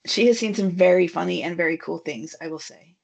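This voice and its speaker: A woman's voice: on the low side, brisk, gravelly, a little mellow. A woman leading an online team meeting, chatty and friendly with frequent fillers, heard over a video call. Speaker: meeting facilitator. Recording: dull lo-fi audio.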